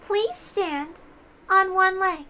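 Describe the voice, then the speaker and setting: A woman voicing a cruel, coldly polite young lady who gives slow, deliberate commands. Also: very high-pitched, sharp tone, a little hiss or hum, pitched above the performer's own voice, full character voice. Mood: cruelly polite.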